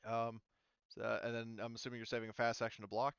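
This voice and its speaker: Male game master, casual tabletop narration. Smooth tone, dry and slightly dull recording. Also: mid-range voice, monotone, fast, a bit bright, no echo. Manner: casual, thinking aloud.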